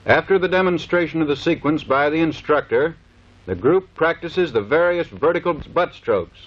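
A man's voice: fairly high pitch, lively intonation, normal speed. A man giving scripted, matter-of-fact instructional narration for a training film. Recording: dull lo-fi 1930s film soundtrack.

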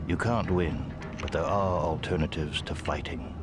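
An actor playing a calm, wise old mentor knight in a space adventure game, measured and grave. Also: male voice, a bass voice, very noisy. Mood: serene. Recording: loud orchestral game score behind.

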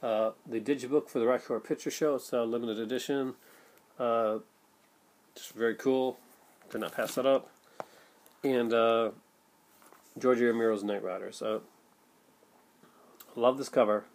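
A man's voice: medium pitch, fairly even intonation, unhurried, pauses often. A middle-aged man vlogging casually to camera at home, rambling with many fillers and restarts. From Canada. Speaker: vlogger, film collector. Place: home room with shelves of films, indoors. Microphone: built-in webcam mic at desk.

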